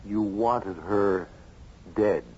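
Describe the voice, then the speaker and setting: An actor playing an urgent, manipulative doctor in an old-time radio drama, insistent and dramatic. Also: male voice, on the low side, extremely slow, a little mellow. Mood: commanding, hypnotic. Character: urgent, manipulative doctor, an agitated family friend. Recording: dull, hissy old radio recording.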